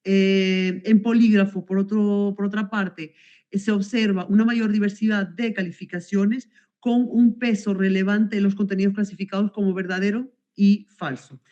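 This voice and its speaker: A woman's voice: mid-range voice, flat delivery, normal speed, mellow, lo-fi sound. A woman from Venezuela, presenting research results at an academic conference over a video call and hesitating with many fillers and self-corrections.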